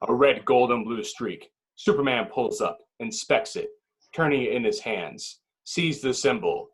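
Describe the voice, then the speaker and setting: A man's voice: medium pitch, fairly bright tone, breathy edge, rough recording. A man reading stage directions as narrator at a table read, in dramatic, clipped phrases.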